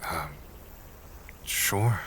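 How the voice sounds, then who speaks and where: A man playing a quiet, earnest, long-lived nonhuman character in an audio drama, reserved and understated. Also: drawn-out words, a little hiss or hum. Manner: reserved, understated, brief replies. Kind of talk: naturalistic audio drama acting.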